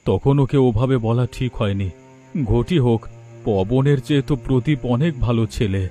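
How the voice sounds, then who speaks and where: Male actor as worried father, mellow timbre, middling pitch, plaintive, self-pitying, cartoon melodrama, light music behind. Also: normal speed, close to an everyday voice. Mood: worried, weary. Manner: plaintive, lamenting. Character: anxious, self-pitying widowed father of grown daughter.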